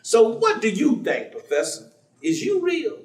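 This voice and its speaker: An actor playing a warm, devout, folksy man, teasing and preaching in a conversational staged reading. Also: extremely high pitch, wildly swooping pitch, unhurried, full character voice. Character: warm, devout, folksy man, witty self-styled outlaw believer. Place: theatre stage. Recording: echoey stage recording from far off.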